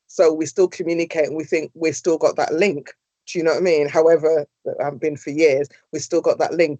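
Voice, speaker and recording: A woman's voice: low voice, warm tone, dry recording, muffled recording. A middle-aged woman, a former councillor, giving earnest interview answers in long flowing sentences.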